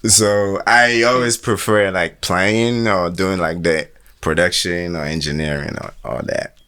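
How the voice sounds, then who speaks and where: A man in casual chat as a musician guest, telling stories in a jokey, slangy, animated way, with a Ghanaian accent. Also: deep voice, unhurried, clear, resonant tone.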